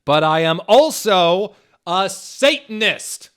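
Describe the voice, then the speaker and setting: A man voicing a defiant, brooding male satanist student with loud, portentous declamation in a comic story. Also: sits high, lively intonation, slow pace, clear, resonant tone, voice pushed up, exaggerated voice. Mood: angry defiance.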